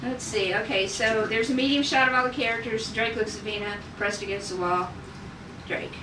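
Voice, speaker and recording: A woman with a fairly high voice reads script directions informally, with giggly asides. She is in an echoey room, away from the microphone, with clear background noise. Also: normal speed. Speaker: table read leader reading stage directions. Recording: noisy echoey room, distant mic.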